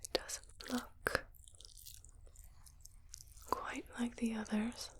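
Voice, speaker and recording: A woman playing a calm, clinical doctor in an ASMR roleplay on an alien planet, whispering slowly in a breathy, measured way. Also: on the high side. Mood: curious, focused. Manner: slow, measured, softly clinical.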